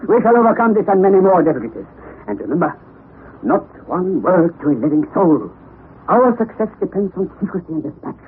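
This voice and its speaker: An actor playing an excitable, eccentric elderly professor in a 1960s radio drama, speaking in a commanding, theatrical way. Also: male voice, high voice, a little fast. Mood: reassuring. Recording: lo-fi vintage radio broadcast with hiss.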